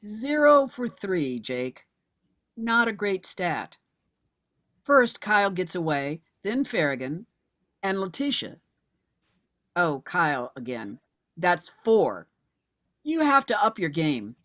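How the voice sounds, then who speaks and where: A woman plays a recently widowed mother who has had no rest and will not give up. Her pitch moves in a lively way as she goes from weary to firm, in the manner of a screenplay table read. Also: slow, long pauses, heavily acted. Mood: wry, dry. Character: weary, resolute recently widowed mother turned private investigator.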